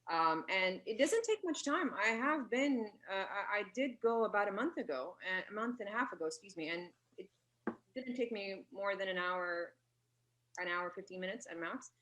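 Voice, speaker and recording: A woman answering interview questions, explaining earnestly, often pausing and correcting herself. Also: medium pitch, lively intonation, a bit bright, low-quality recording. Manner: earnest, with many fillers and self-corrections. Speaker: radio guest.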